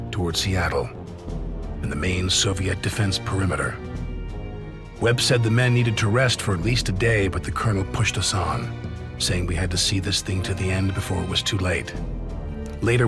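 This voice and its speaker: A man's voice: sits low, close to an everyday voice. An actor playing a weary American soldier who narrates his war memories in a scripted video game, with an American accent.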